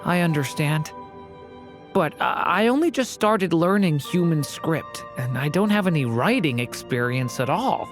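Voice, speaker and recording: A young boy in a fantasy game, earnest and a little hesitant. The voice is somewhat low for a woman yet moves expressively. Soft music runs behind it. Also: animated, unhurried. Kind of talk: video game character voice acting.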